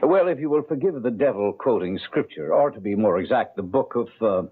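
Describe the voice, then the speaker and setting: A man's voice: on the low side, lively intonation, fairly quick, breathy edge. An actor playing an urbane, condescending devil in the guise of a genial old man, in a theatrical radio drama style. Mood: silky menace. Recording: tinny, narrow-band old radio broadcast.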